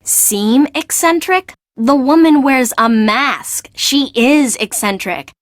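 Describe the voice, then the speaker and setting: A woman's voice: sits high, slow pace, dry recording, clean studio sound. A woman playing a chatty young fashion assistant in a video game, confiding and gossipy. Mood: emphatic.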